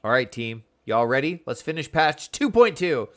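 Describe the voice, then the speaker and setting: A man's voice: mid-range voice, very animated, normal speed. A man chatting casually and enthusiastically as he streams a video game, using slang and talking to viewers.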